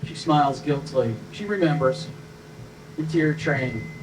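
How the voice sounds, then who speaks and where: A man's voice: mid-range voice, animated, moderate pace. A man reading screenplay stage directions as scripted narration at a live table read, picked up by a distant microphone.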